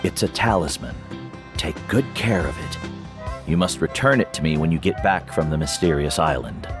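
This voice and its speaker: An actor voicing a calm, protective older male mentor in a video game, who speaks slowly and gently. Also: extremely low pitch. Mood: solemn. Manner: slow, measured and gentle. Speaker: teacher and guide. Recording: game audio with faint music. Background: soft game music.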